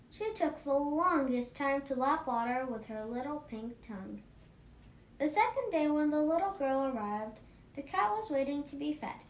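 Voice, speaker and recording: A woman reading a children's story aloud in an expressive, animated manner. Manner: animated, acts out dialogue. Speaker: storybook reader for a children's book club. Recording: roomy echo, faint rumbling noise.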